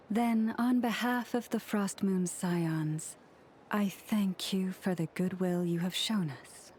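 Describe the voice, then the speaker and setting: A woman playing a dignified, gracious young priestess leader in a video game, formal and gentle in delivery. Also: medium pitch, slight background noise, natural voice. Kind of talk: video game character acting.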